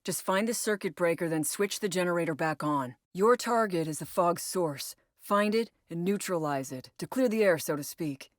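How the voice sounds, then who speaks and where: A woman playing a composed female operations agent in a video game, briefing a squad in a measured, matter-of-fact way. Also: on the low side, dry recording, clean studio sound, natural voice. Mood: focused, instructive. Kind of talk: scripted video game voice lines.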